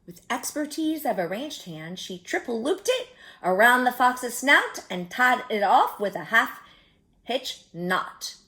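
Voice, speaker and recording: A woman reading a story aloud to young children like a teacher, expressively, pausing to add simple explanatory comments. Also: on the high side, big pitch swings, sharp tone.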